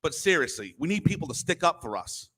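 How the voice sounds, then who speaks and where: A man with a loud voice giving impassioned public comment at a school meeting, forceful and emphatic. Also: a little high, very animated, fairly quick. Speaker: parent speaking at public comment.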